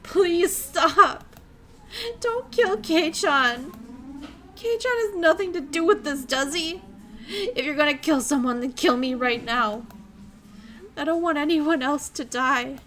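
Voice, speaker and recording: A woman voicing a terrified teenage girl locked in a cell, pleading in a shrill, frantic voice. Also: expressive, slow pace, a bit bright, a little hiss or hum, full character voice.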